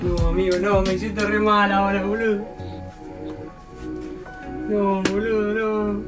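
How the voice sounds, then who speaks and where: A young man with an Argentine accent talking casually to camera as a prank-video host, nervous, excitable and repeating himself. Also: high voice, a little slow. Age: young adult. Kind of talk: casual vlog talk to camera. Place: small plain-walled room indoors. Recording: loud music behind.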